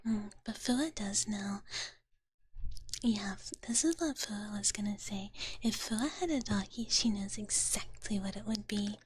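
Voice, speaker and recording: A woman's voice: mid-range voice, normal speed, a little hiss or hum. A woman whispering breathily and playfully close to the microphone in a casual ASMR livestream chat. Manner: playful, talks about herself in third person.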